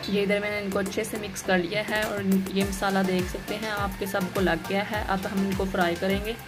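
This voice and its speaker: A woman's voice: mid-range voice, flat delivery, normal speed. A woman gives a plain, instructional step-by-step recipe voice-over as a home cooking host. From Pakistan. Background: music behind the voice.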